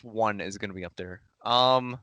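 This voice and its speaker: A man's voice: lowish voice, normal speed, sharp tone, dry recording. A man chatting casually as a podcast game contestant, in a self-deprecating manner. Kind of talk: casual chat.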